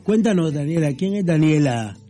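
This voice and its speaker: A man's voice: on the high side, lively intonation, moderate pace, mellow. Playful man hosting. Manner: playful, teasing. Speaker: radio host. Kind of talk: casual chat.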